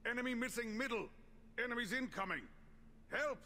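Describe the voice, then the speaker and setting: High, bright game character calling commands. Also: male voice, a little slow, slight background noise, full character voice. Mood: commanding. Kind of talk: video game voice pack callouts.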